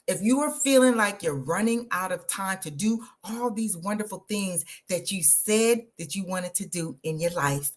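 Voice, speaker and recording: A woman, hosting an energetic, motivational live stream and talking straight to her viewers. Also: mid-range voice. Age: 50s. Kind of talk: live social media stream.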